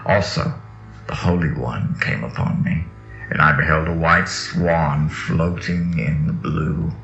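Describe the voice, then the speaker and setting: Very deep, gravelly man reading solemnly. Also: fairly bright tone. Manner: slow, incantatory, dramatic. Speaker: reader of sacred texts. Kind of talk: solemn scripted reading of mystical scripture. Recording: echoey, dull audio under music. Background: music.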